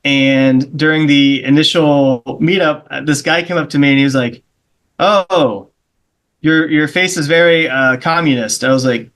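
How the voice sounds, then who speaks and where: Podcast guest, man, fairly high voice, loose storyteller who mimics the characters in his stories. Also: unhurried, a bit bright. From Charleston, South Carolina. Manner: rambling anecdotes, acts out the voices of people in his stories. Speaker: guest comedian. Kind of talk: casual podcast chat.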